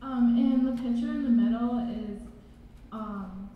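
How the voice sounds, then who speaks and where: A woman's voice: on the high side, a little slow. A young woman on a student panel, talking casually into a handheld mic in a large hall. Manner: casual, uses "like". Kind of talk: panel presentation. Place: large reverberant college hall. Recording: echoey hall, dull sound, faint noise.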